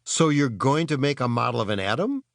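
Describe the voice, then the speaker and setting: A man's voice: medium pitch, lively intonation, a little fast, creaky, no echo, studio quality. A man voicing a skeptical, easily baffled boy in a children's storybook, with blunt, puzzled delivery.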